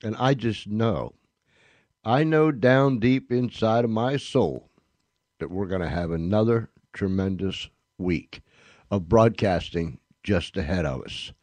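A man with a fairly low voice hosts a radio show. He talks casually and folksily straight to his listeners, repeating phrases for emphasis. Light music plays behind him. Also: darkish tone, no echo. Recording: music under the voice.

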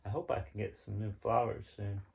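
A man's voice: lowish voice, flat delivery, brisk, gravelly, slightly rough. A man in his thirties talking casually to camera as he presents and reads a storybook. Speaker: storybook reader. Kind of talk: casual read-aloud to camera. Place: small room at home, indoors.

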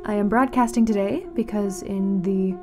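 A woman playing a grave, mournful radio announcer in a fiction podcast, speaking solemnly and dramatically with weighted pauses. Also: mid-range voice, music in the background, natural voice. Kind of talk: scripted fiction-podcast narration as a community radio broadcast.

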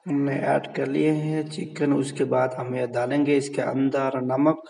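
A man's voice: mid-range voice, little pitch movement, vocal fry, dark tone, in a large hall. A man narrating a home cooking video step by step at the stove, repeating himself and urging viewers to subscribe. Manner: slow, repetitive, keeps urging viewers to subscribe. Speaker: cooking vlogger.

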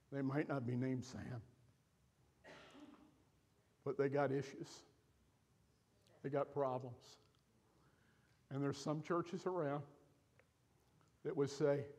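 An older man preaching from a church stage, telling a personal story with pauses and feeling. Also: mid-range voice, a little mellow. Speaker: pastor. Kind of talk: sermon storytelling.

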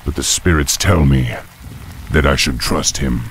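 An actor playing a proud young orc war chief in a fantasy game, gruff and commanding. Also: male voice, a bass voice, unhurried, slight background noise. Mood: wary, puzzled. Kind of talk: dramatic fantasy game voice acting.

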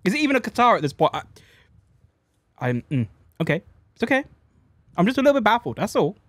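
A man's voice: high-pitched, very animated, quick pace, a bit bright, no room sound. Young man reacting, close dry sound. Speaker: reaction video host. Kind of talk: casual reaction commentary.

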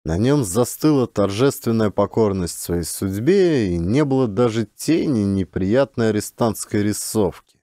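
A man reading a literary story as an audiobook narrator, in an expressive, measured storytelling manner. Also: fairly low pitch, no echo, clean studio sound.

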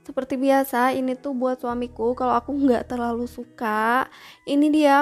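Chatty high-voiced young woman vlogger. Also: a little mellow. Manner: chatty, asks viewers to comment. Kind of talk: grocery shopping voice-over.

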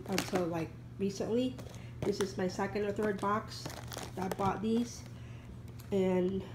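A woman's voice: medium pitch, noisy background. A woman in her thirties with a congested, stuffed-up nose, chatting casually and rambling with many ums while showing items at home. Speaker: vlogger. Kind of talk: casual haul video. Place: home, at a table.

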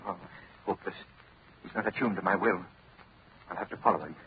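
An actor playing a grave, determined hero in a 1930s radio drama, speaking earnestly and urgently. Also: male voice, low voice, a little fast, natural voice. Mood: desperate. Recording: tinny old radio broadcast with faint hiss.

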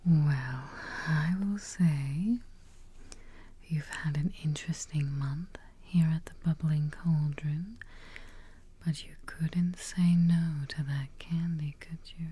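A young woman whispering breathily and close to the microphone in a gentle, soothing, reassuring ASMR roleplay as a caretaking witch. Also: low-pitched, steady tone, takes it slowly, husky. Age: young adult. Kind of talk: soft-spoken ASMR roleplay. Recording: faint background noise, soft ambience.

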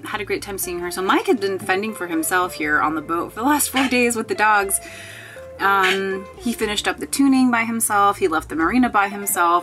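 A woman's voice: fairly low pitch, sharp tone. Chatty woman vlogging from a boat cabin. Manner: chatty, wry, laughing at mishaps. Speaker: sailing vlogger. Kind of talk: casual vlog talk to camera. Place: wooden boat cabin, small indoor space. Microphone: handheld camera at arm's length.

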